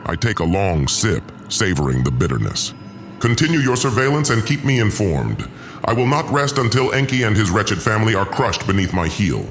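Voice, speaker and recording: A man's voice: lowish voice, sing-song, normal speed, a bit bright. A man playing a cold, vengeful usurper lord in a sci-fi audio drama, pompous and menacing, narrating his own schemes theatrically. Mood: cold, gloating. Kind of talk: first-person audio drama narration and dialogue.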